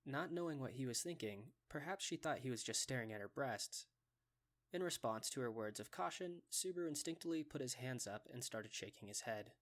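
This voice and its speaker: A man's voice: mid-range voice, lively intonation, a little fast. A man narrating a scripted fantasy story for an anime fan dub.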